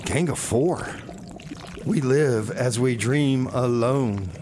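Mid-pitched man hosting over noisy background. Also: slow pace. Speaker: community radio DJ and comedy sketch performer. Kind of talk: casual radio hosting with comedic banter.

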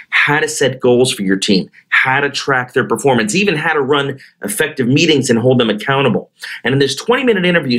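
A man hosting a podcast on recruiting, energetic in his intro and loose and chatty when asking questions. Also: medium pitch. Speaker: podcast host. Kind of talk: podcast interview.

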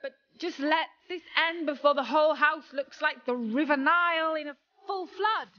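A woman's voice: moderate pace, shouting, lightly acted. A woman voicing an exasperated, petulant and desperate boy apprentice in a children's storybook, with dramatic outbursts and pleading. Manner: shrill outbursts, stammering threats, dramatic pleading. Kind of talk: children's storybook character reading. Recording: dull lo-fi audio with music.

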